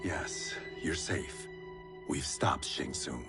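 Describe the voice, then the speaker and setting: An actor playing an earnest, resolute warrior hero in a video game, speaking with a commanding manner. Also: male voice, very deep voice, takes it slowly, creaky, heavily acted. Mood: concerned, urgent. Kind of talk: video game cutscene acting. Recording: loud music behind the voice. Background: loud music.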